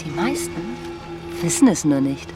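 An actress in a German radio drama playing a cool, cruel, smug conspirator who savours the downfall of others. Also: female voice, deep voice, big pitch swings, measured pace. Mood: knowing, probing. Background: music behind the voice.

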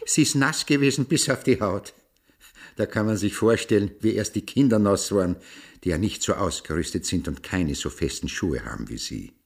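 An actor playing a nosy, matter-of-fact rustic householder in a radio play, with an Austrian accent. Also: male voice, fairly low pitch, lively intonation, moderate pace, creaky. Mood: curious, dry.

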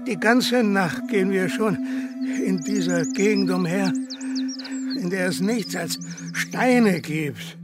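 An elderly gentleman tourist in a radio drama, speaking slowly in a fairly high voice. He is ceremonious and chatty, politely effusive, with clear music behind him. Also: expressive. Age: older. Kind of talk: radio play acting.

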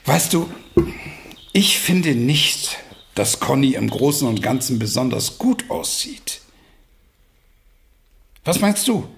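An actor in a German radio drama playing a stout, blunt old father who urges deliberately, with pointed pauses. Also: medium pitch, very animated, creaky, exaggerated voice. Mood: insistent, reproachful. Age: older.

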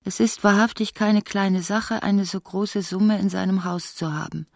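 A woman narrating a literary radio play in a measured, articulate way, voicing its long speeches. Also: medium pitch, steady tone, creaky, a little mellow, dry recording, studio quality, lightly acted. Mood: measured narration.

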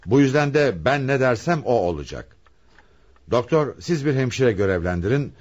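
Man acting commanding police commissioner, husky animated voice. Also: medium pitch, natural voice. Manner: authoritative, deliberate, probing. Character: commanding male police commissioner leading a murder investigation. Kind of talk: radio theatre acting.

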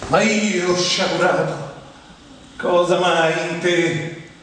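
A man delivering scripted, dramatic narration in a halting way, repeating phrases and drawing out his pauses. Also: sits high, fairly even intonation. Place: large reverberant room, recorded from afar. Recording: very echoey hall, distant mic, faint noise.

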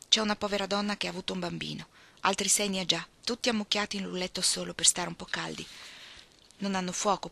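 A woman voicing a kind, charitable middle-aged mother in an audiobook, tender and gently persuasive. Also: on the low side, creaky, heavily acted. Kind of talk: audiobook character voice.

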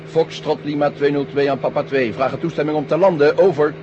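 An actor playing a dutiful, anxious helicopter pilot in a 1970 radio drama, deferential and procedural. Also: male voice, on the high side, steady tone, fast talker, highs cut off, lightly acted. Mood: businesslike. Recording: old radio recording, loud engine noise.

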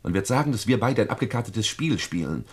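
A man's voice: on the low side, sing-song, fast talker, husky. A man acting an evasive, defensive murder suspect in a German radio play, hedging and uneasily insistent. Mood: tense, insistent.

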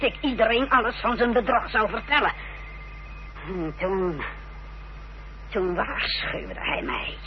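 A woman's voice: mid-range voice, lightly acted. Actress as a defiant woman in muffled old radio drama. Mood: bitter, regretful. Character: unrepentant, defiant village wife confessing her part in a fraud. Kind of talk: Dutch radio play acting. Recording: dull old radio recording with hiss and hum.

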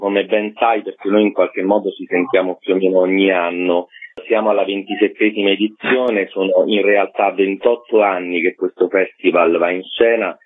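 Low-voiced man on a tinny phone line. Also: fairly even intonation, moderate pace. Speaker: theatre festival director, interview guest. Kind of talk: informative interview talk.

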